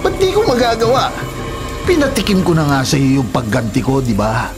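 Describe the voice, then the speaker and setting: A man acting a ruthless, gloating killer in a Filipino radio drama, taunting with drawn-out threats. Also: a little high, expressive, music in the background. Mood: gloating, menacing. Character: gloating ruthless male illegal-logger killer.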